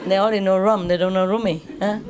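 Lady, mid pitch, chatting informally to her group, lots of little tag questions. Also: fairly even intonation, quick pace, darkish tone, a little hiss or hum. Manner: chatty, often repeating short tag questions. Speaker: spiritual teacher leading a group gathering.

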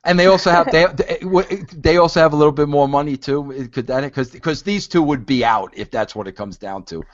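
A man's voice: on the high side, fairly quick, highs cut off. A man in his fifties playing a network executive who teases and coaches two interviewers with a pushy, interrupting manner. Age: 50s.

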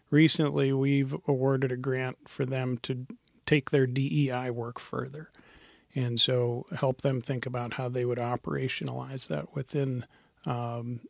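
Middle-aged man, program director type; gravelly, measured, hesitant delivery. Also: medium pitch, no room sound, clean studio sound. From Montana. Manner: thoughtful, hesitant, many fillers. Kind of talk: interview answers.

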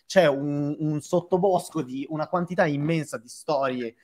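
A man's voice: on the high side, moderate pace, darkish tone. A man hosting a film talk stream in casual chat, digressing and thinking aloud.

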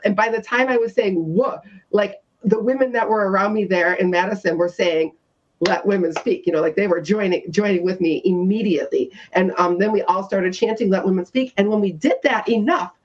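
A woman with a loud voice, a guest activist telling her story in a casual radio discussion, with many restarts. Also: mid-range voice, fairly quick, highs cut off.

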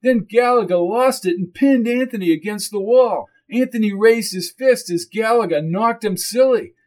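A man's voice: sits high, normal speed, a little mellow, pitched above the performer's own voice, close to an everyday voice. A man voicing a bossy, impatient tough guy who talks bluntly and urgently in a crime story. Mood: urgent, agitated.

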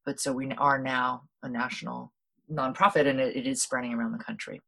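A middle-aged woman from Hawaii, a guest in an interview, explaining her background thoughtfully with many pauses and restarts. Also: extremely low pitch, fairly even intonation, vocal fry, a bit bright. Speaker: guest, food policy founder. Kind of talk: interview storytelling.

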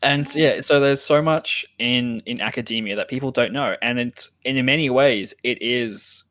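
A man with an Australian accent in casual discussion, explaining things in a halting, repeating way. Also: mid-range voice, a bit bright, no echo. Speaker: podcast host and game master.

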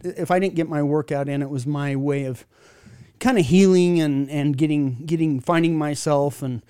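Guy hosting a chat, warmish mellow voice a bit high, rambly and excited, dry close mic. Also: moderate pace, clean tone, dry recording. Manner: enthusiastic, rambling, with many restarts. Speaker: podcast host. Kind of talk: casual chat.

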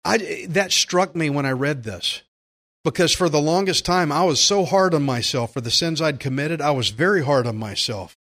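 A man preaching a sermon, earnest and emphatic, slowing down to stress key phrases. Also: a little high, expressive, a bit bright. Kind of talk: sermon with scripture readings.

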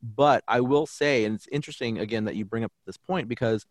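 A man's voice: medium pitch, a little fast, dry recording. A young adult man, a native English speaker who teaches German, talking earnestly and reflectively in a podcast interview, often restarting his sentences.

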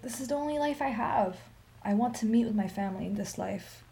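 An actress playing a sorrowful, dignified old Armenian woman in a radio play, telling her story in a solemn, measured, emphatic way. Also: fairly high pitch, vocal fry, mellow. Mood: resolute, forgiving.

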